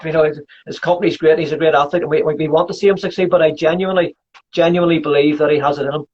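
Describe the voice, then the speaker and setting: High, husky Scottish man in his fifties. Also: fairly even intonation, darkish tone. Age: 50s. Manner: enthusiastic, quick to agree and laugh. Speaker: podcast host. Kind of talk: casual chat. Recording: muffled lo-fi audio.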